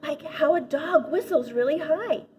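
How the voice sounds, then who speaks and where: A woman reads as a flustered schoolkid from a storybook, bluffing through a speech in a high, overbright voice. The delivery is halting and improvised, on a slightly dull recording. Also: a little hiss or hum. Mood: nervous bluffing.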